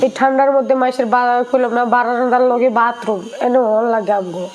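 A woman's voice: fairly high pitch, steady tone, a little fast, a little mellow, highs cut off. A young woman with a colloquial Bangladeshi accent, making a plaintive, emotional personal appeal for help in an interview. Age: young adult. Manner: pleading, plaintive.

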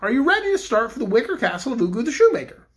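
A stately toy bear king from a storybook, read by a woman. He speaks in a calm, measured and reflective way, with a touch of grandness. His voice sits high and moves in a lively way. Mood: calm regal.

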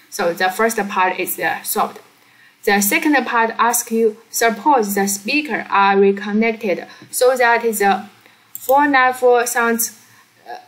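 Slow-talking woman teaching physics, non-native English. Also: mid-range voice, low-quality recording. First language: not English. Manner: halting, with frequent check questions to the learner. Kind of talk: informal step-by-step explanation of a worked problem.

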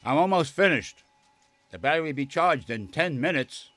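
A man voicing a grave, kindly elderly scientist mentor in an animated game, speaking in a measured, solemn way. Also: on the high side, no echo. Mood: thoughtful. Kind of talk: animated game cutscene dub.

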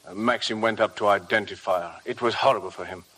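Guarded, polite estate manager. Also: male voice, on the low side, fast talker, a bit bright, breathy edge, low-quality recording. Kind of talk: 1950 radio drama acting.

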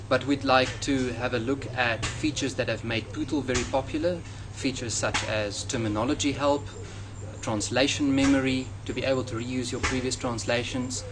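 A man in his thirties with a South African accent, a native Afrikaans speaker, answering interview questions in a measured, earnest way outdoors in front of a hedge. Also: mid-range voice. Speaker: software developer. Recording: outdoor noise with passing traffic.

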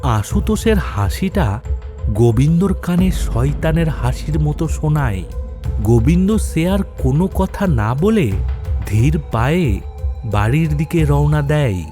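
Slow, dramatic male horror narrator. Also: fairly high pitch, big pitch swings, mellow. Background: background music.

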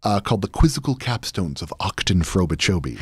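A man's voice: sits low, very animated, a little fast, slightly rough, no room sound. Man chatting casually in a dry studio. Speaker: podcast guest, voice actor and game master. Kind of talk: casual interview chat. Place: podcast studio, indoors. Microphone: close studio mic on boom arm, headset monitoring.

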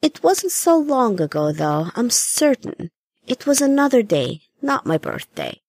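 A woman's voice: fairly high pitch, big pitch swings, a little slow, a little mellow, no room sound, pitched above the performer's own voice, exaggerated voice. A woman voicing a young granddaughter in a story, careful, coaxing and reassuring.

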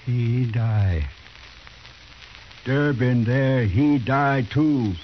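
An old radio drama, dull and faintly noisy, features a proud and stoic man of the Old West. His male voice is slow and mid-pitched. He talks in short, broken English phrases with great dignity. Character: proud, stoic Native man accused of murder. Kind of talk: 1950s radio western acting.

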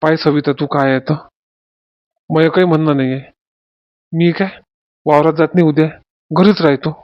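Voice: mid-range voice, steady tone, normal speed, no echo, dull audio, close to an everyday voice. A performer voicing a resigned, grumbling farmer husband in a family comedy cartoon. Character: weary, resigned village farmer husband and father.

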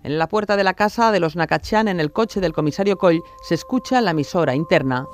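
A man's voice: high-pitched, lively intonation, fairly quick, noisy background. A man reading scripted true-crime narration.

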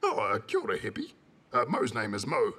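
An eager furry cartoon monster with a husky, bright voice. He is friendly and simple, hesitates often and speaks of himself by name in a New Zealand accent, with wildly swooping pitch. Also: wildly swooping pitch, brisk, full character voice. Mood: hesitant. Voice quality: gravelly, husky. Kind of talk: preschool cartoon voice acting.

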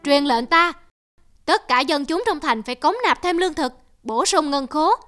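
A woman's voice: high voice, lively intonation, normal speed, a bit bright, soft background music. A woman voicing a vain, tyrannical old king in a cartoon folktale, imperious and pompous. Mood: commanding.

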